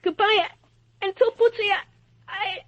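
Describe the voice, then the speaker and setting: A woman's voice: very high voice, steady tone, unhurried, fairly bright tone, dry recording. A woman playing an earnest, plucky little boy in a 1940s radio drama, slangy and polite. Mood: sad, subdued. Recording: dull, dry old radio recording.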